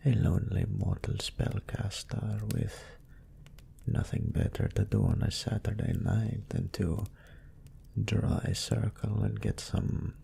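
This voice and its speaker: A man playing a smug, lustful demon incubus in an audio roleplay, musing languidly and sardonically in a gravelly, breathy voice. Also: deep voice, warm tone, slight background noise, heavily acted. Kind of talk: scripted character monologue in audio roleplay.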